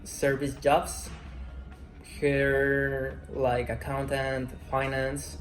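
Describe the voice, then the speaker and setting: A man in his twenties, a Spanish speaker, answering interview questions in slow, careful English. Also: mid-range voice, completely flat delivery. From Mexico. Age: 20s. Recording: roomy echo, faint music.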